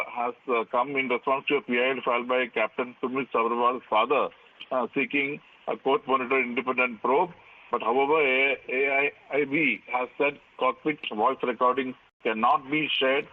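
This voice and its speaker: A man with an Indian accent giving a live legal news report in a halting manner full of fillers and repeats, over a narrow phone-like line. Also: mid-range voice. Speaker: legal editor reporting on air.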